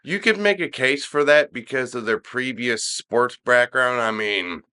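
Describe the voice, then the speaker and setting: A middle-aged man chatting on a podcast, his voice a little stuffed up and nasal today. His tone is bright and he rambles, circling back with restarts and fillers. He talks loosely and casually. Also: medium pitch, unhurried, smooth. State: stuffy nose, slightly nasal. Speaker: podcast co-host discussing wrestling. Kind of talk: casual chat.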